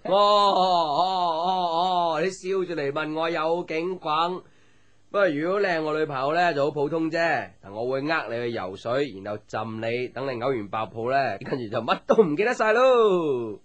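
A man's voice: fairly high pitch, normal speed. An actor with a Hong Kong Cantonese accent playing a playful, teasing young man, a caring friend, in a light conversational radio drama. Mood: joking. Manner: teasing, joking, animated with exclamations. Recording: music under the voice.

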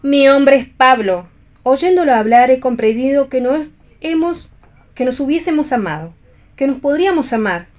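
A woman's voice: sits high, expressive, normal speed, a little mellow. A woman acting a proud, possessive rival back from death in a play reading for radio, assertive and declamatory. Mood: proud, impassioned.

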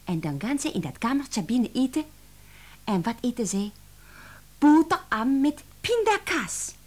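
An actress playing an excitable, principled young French cook with a French accent, dramatic and indignant, in a radio drama. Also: female voice, on the high side, measured pace. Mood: indignant, animated. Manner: dramatic, indignant, sprinkles speech with French exclamations.

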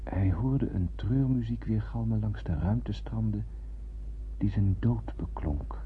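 Een acteur die in een hoorspel een ernstig, bezorgd bemanningslid van een ruimteschip speelt en plechtig, poëtisch vertelt. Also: male voice, fairly low pitch, natural voice. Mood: solemn, brooding. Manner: solemn, deliberate, poetic. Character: grave, troubled male spaceship crewman narrating his tale. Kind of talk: literary radio drama narration. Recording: dull old radio-play recording, faint hiss.

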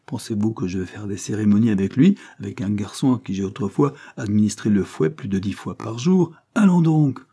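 A man voicing a cantankerous old spinster who talks sharply and imperiously with a raspy voice, in an audiobook reading. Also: fairly low pitch, expressive, fairly quick, hardly any pauses, warm tone. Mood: dismissive. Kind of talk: audiobook character voice.

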